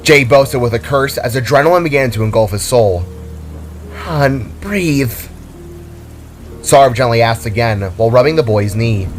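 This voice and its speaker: A man's voice: mid-range voice, fairly quick, few pauses, soft background music. A man reading a scripted, dramatic story as its narrator. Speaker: author and narrator.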